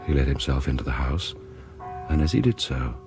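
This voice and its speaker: A man narrating a radio horror drama in a measured, solemn way. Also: extremely low pitch, lively intonation. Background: music.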